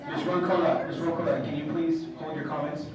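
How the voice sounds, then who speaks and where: A man's voice: on the high side, level tone. A man chairing a city council meeting, firmly warning audience members. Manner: firm, rapid, scolding. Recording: distant, very echoey hall with loud crowd.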